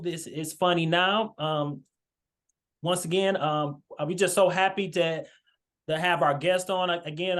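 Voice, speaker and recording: A man hosting a marriage podcast over a video call, speaking earnestly with many fillers and restarts. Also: fairly high pitch. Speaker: podcast host. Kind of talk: casual video-call chat.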